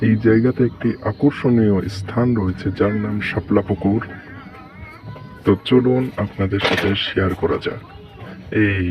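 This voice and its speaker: Low-voiced Bangladeshi man, slightly distant mic. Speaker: vlogger, tour guide to viewers. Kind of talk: walking travel vlog commentary. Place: outdoors, walking around grounds.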